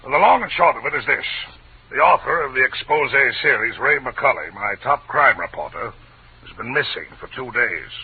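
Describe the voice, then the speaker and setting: Blustering newspaper boss, declamatory, animated pitch, old-time radio drama, tinny narrowband recording. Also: male voice, normal speed, breathy edge. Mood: urgent, confidential. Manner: pompous, declamatory, florid. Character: pompous, excitable male newspaper publisher, crusading. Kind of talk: 1940s radio drama acting.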